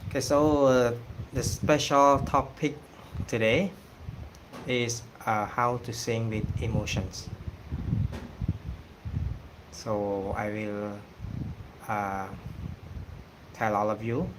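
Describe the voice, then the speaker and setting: A man from Cambodia with a Cambodian accent, whose first language is Khmer, talking casually on a livestream and pausing often with fillers. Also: mid-range voice, fairly even intonation, background noise. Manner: hesitant, many pauses and fillers. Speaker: singing channel host.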